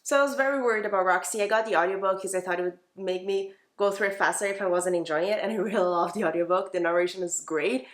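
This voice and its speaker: A woman's voice: medium pitch, quick pace, hardly any pauses, echoey room. A woman giving casual, chatty book reviews as a vlogger, informal, opinionated and emphatic in how she talks.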